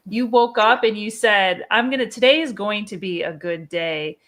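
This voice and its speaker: A woman's voice: medium pitch, moderate pace, fairly bright tone. A woman guest on a podcast who answers in an earnest, encouraging and emphatic way, as if giving motivational advice.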